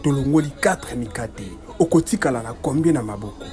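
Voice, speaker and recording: A performer voicing a patient cartoon adult who quizzes a child in a deliberate, questioning manner. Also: mid-range voice, animated, darkish tone, soft background music, natural voice. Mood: instructive. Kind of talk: cartoon character dialogue.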